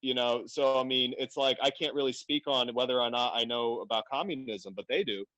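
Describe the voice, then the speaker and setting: A man from Afghanistan talking bluntly and animatedly in a casual podcast conversation, quick to laugh. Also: medium pitch, sharp tone, no echo, low-quality recording. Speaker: podcast guest.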